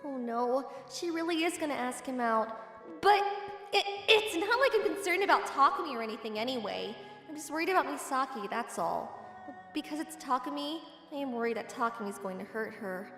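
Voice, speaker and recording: A woman playing a tsundere teenage girl in a romcom manga dub, expressive and huffy, a childhood friend in denial. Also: high-pitched, a little fast, soft background music. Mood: quietly conflicted.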